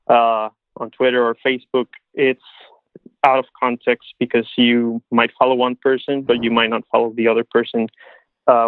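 Male interview guest on a thin phone-like connection, monotone, casual, hesitant phrasing. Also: mid-range voice, dry recording. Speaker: podcast guest. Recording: muffled phone-like line.

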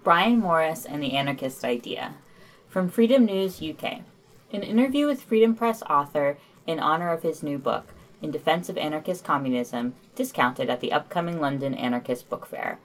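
A woman's voice: deep voice, lively intonation, normal speed, low-quality recording. A woman co-hosting a podcast, reading scripted news summaries with informal asides.

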